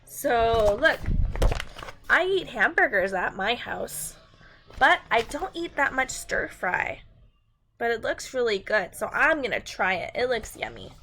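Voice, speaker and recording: Woman, high voice, children's read-aloud teacher, simple encouraging talk, faint background noise. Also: animated, unhurried, bright, forceful tone, low-quality recording.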